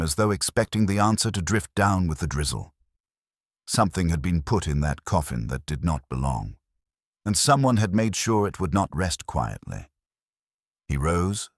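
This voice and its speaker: A man reading a scripted mystery story in a measured, deadpan and wry manner. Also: sits low, no room sound, studio quality. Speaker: audiobook narrator.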